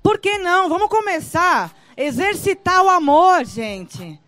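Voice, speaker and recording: A woman's voice: very high voice, sing-song, measured pace, a bit bright, soft background music. A woman chats casually and expressively as a guest at an outdoor event. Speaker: reality show guest.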